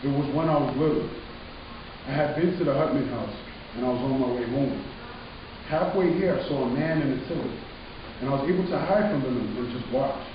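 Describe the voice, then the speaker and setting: A man's voice: medium pitch, brisk, lo-fi sound, natural voice. A man playing a bitter, taunting older brother, haunted and defiant, in a dramatic reading. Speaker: stage reader. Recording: echoey room from far off, hissy.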